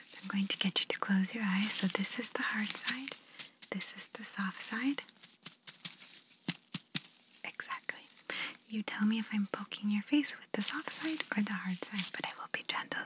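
A woman whispering slowly and softly as she plays an examiner in an ASMR roleplay, repeating gentle praise into a close binaural mic. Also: mid-range voice. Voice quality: whispering, mostly unvoiced. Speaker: ASMR creator playing an examiner.

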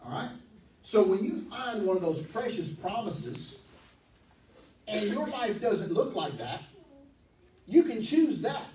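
Animated preacher man in an echoey hall. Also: high voice, slow pace, long pauses, recorded from a distance. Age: 40s. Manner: animated, emphatic, pacing the stage. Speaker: pastor. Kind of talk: sermon. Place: church hall, medium-sized, indoors. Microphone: handheld mic.

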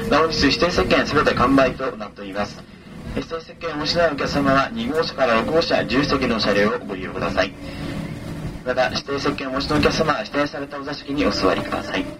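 A man speaks as a train conductor in a medium-pitched voice, heard through the carriage PA speaker. His delivery is formal and polite, with key details repeated for passengers. Clear vehicle noise runs through the recording. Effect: public address speaker. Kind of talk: onboard train announcement. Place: inside a moving train, over its PA.

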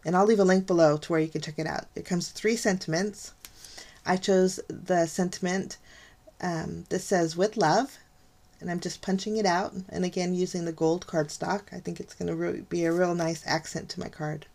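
A woman's voice: on the low side, fairly even intonation, moderate pace, creaky, a little mellow. A middle-aged woman hosting a card-making tutorial, talking chattily through each step with lots of ums and gushing over how pretty things look. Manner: chatty, gushing, frequent ums and light laughs. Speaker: card-making channel host. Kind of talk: casual crafting tutorial voice-over. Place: craft desk, overhead camera on hands.

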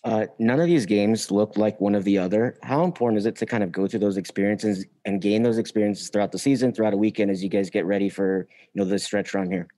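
A man asks a question as a sports reporter. He talks quickly in a mellow, fairly low voice. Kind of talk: press conference questions.

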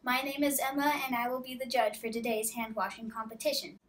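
Young girl host, high voice, cheerful scripted announcing, echoey room, mic a few feet off. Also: little pitch movement, a little fast, fairly bright tone, recorded from a distance, slight background noise. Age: child. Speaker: host of a kids' contest video. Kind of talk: scripted presenting. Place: classroom with whiteboard. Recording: echoey classroom, camera from off.

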